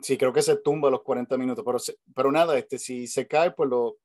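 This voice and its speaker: A man's voice: mid-range voice, fairly even intonation, fast talker, a little mellow, no echo, studio quality. A man with a Puerto Rican accent, hosting a casual video call chat, talking hesitantly with many fillers and restarts. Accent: Puerto Rican Spanish. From Puerto Rico. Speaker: host. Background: family noise at home.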